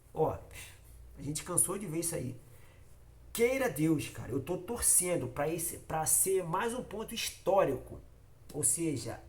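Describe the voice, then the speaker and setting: A man from Rio with a Carioca accent talks straight to his viewers in a casual fan vlog. He has a cold and his voice comes out nasal. He repeats himself for emphasis, hammering each point home. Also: fairly high pitch. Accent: Carioca Brazilian Portuguese. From Rio de Janeiro, Brazil. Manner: repetitive and emphatic, addresses viewers directly. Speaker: football fan channel host.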